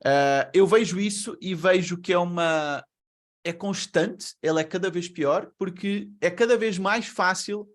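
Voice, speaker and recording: Man, European Portuguese accent, fairly high voice, webinar speaker, chatty and full of rhetorical questions, on a video call mic. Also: a bit bright. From Brazil. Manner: conversational, asks rhetorical questions. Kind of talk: presentation to an online audience.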